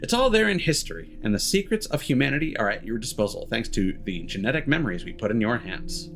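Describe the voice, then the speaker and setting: A man's voice: medium pitch, big pitch swings, fast, fairly bright tone. A man streaming a game, reading text aloud and adding cheeky, sardonic asides. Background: music.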